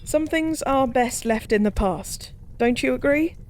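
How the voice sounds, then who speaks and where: A woman voicing a lonely, drunk fallen goddess in a soft-spoken audio roleplay, musing and rambling with weary melancholy. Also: high-pitched, animated, a little slow. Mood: weary, wistful.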